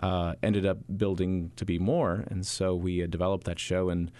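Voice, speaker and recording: A male stage actor answering interview questions, halting, with many fillers and restarts. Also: low voice, little pitch movement, a little mellow, smooth, dry recording.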